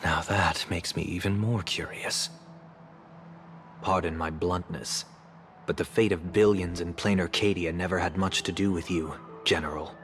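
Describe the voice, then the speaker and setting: A man voice acting a polite, probing gentleman in a game cutscene, with measured, deliberate and formal speech. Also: deep voice. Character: polite, probing, soft-mannered gentleman, former ruler.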